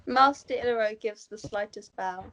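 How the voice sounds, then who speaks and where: Woman, medium pitch, narrator, scripted stage directions, amateur table read. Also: normal speed, noisy background.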